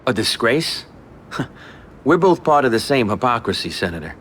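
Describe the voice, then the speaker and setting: An actor playing a pragmatic mob underboss in a game cutscene, cool, pressing and faintly mocking. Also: male voice, mid-range voice, very animated, normal speed, a bit bright, slight background noise. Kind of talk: video game cutscene acting.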